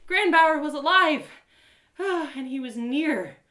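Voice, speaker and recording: Give me a woman voicing a plucky, excitable young adventurer girl narrating a fantasy tale, bright and dramatic, in an echoey room. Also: high voice, takes it slowly, voice pushed up, exaggerated voice. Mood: giddy excitement. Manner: exclamatory, dramatic, colloquial asides. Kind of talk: first-person dramatic story narration.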